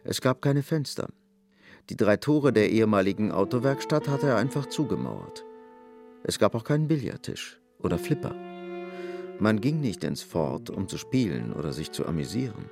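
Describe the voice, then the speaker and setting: Deep-voiced guy narrating a radio play, lively intonation, bit of gravel. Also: normal speed. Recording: music under the voice. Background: music.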